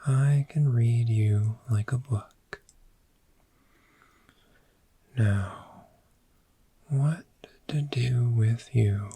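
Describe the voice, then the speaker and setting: A man voicing a friendly, gentle dragon in a soft-spoken, soothing ASMR roleplay, with a breathy whisper and long pauses. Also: mid-range voice, no echo, heavily acted. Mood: gentle, curious. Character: friendly, gentle cave dragon fond of sleep.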